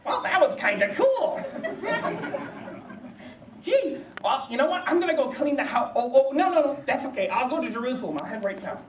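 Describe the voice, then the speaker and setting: A performer voicing a nervous young prophet in a children's church skit, squeaky and lisping, stammering as he complains. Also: very animated, quick pace, few pauses. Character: young reluctant prophet Jeremiah, nervous and whiny. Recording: roomy, distant, muffled, faint noise.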